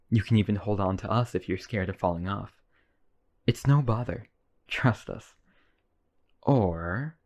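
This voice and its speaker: Man acting a low-voiced, clingy vampire brother. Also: close to an everyday voice. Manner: coaxing, clingy, polite. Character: lonely, obsessive, wealthy male vampire brother, eager host. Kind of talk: boyfriend ASMR roleplay acting.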